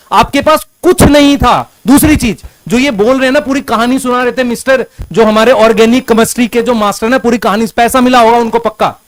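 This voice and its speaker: इस्तीफ़ा दे चुका एक शिक्षक अपने पूर्व बॉस से सीधे और गुस्से में शिकायत करता है, एक ही बात बार-बार दोहराता है।. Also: male voice, very high voice, no echo, rough recording. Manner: confrontational, repeats himself insistently. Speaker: teacher who resigned from an ed-tech company. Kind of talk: heated complaint addressed to a former boss.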